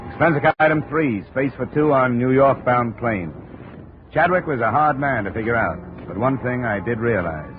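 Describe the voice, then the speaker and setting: A man's voice: mid-range voice, animated, normal speed, natural voice. An actor playing a dry, terse insurance investigator who also narrates his case, in 1950s radio drama style. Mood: narrating, measured. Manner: terse, dry, matter-of-fact. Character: hard-boiled male insurance investigator, dry and unhurried. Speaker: lead detective and narrator. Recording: noisy, muffled old-time radio recording.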